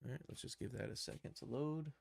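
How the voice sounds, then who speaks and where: Gravelly man giving a livestream tutorial. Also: mid-range voice, darkish tone. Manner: halting, thinking aloud. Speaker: livestream host and game developer. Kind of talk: unscripted software tutorial livestream.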